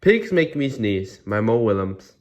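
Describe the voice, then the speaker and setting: A man's voice: on the low side, big pitch swings, unhurried. A man hosting a read-aloud, reading a children's storybook expressively with casual asides.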